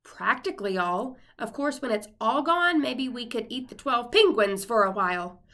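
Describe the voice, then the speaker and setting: A woman voicing a practical, fretful wife and mother in a storybook, with an earnest, expressive delivery. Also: on the high side, moderate pace. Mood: matter-of-fact.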